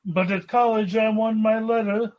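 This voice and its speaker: A man's voice: high-pitched, steady tone, normal speed, dull audio, lightly acted. A man playing a polite, stuffy, well-to-do young businessman suitor in a 1940s-style radio comedy. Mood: genial, stuffy. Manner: genteel, hesitant.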